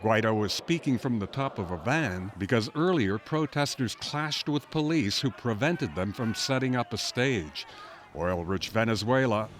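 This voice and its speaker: Male correspondent, mid-pitched, scripted news narration with faint outdoor ambience underneath. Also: slight background noise. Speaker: news reporter.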